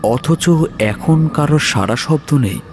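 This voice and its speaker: A man narrating a scripted horror story in a measured, dramatic way, pausing between phrases. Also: fairly high pitch, lively intonation. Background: music.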